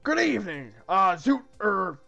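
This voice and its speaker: A man voicing an earnest, flustered young knight who protests politely and haltingly in a comic read-through. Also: fairly high pitch, sing-song, gravelly, dull audio, soft background music.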